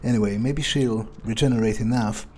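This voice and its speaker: A man role-playing a callous vampire in a video game playthrough, thinking aloud in a casual, halting, sardonic way. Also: mid-range voice, steady tone, mellow, lightly acted. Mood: idle, callous. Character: callous, musing male vampire player character. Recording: faint background noise.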